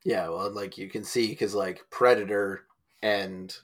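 Give me a man with a very deep, husky voice chatting about films. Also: steady tone, unhurried. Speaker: podcast co-host. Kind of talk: casual movie chat.

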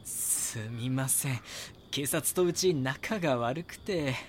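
An actor playing a young magazine reporter in an audio drama, polite, earnest and persistent. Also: male voice, mid-range voice, takes it slowly, slight background noise, natural voice. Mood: polite, probing. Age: young adult. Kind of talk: audio drama acting with inner monologue.

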